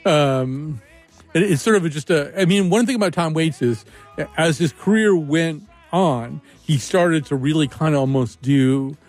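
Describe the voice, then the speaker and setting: A man's voice: mid-range voice, darkish tone. A man hosting a call-in radio show, talking casually in a rambling, wry way and often restarting his sentences. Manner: rambling, self-interrupting, wry.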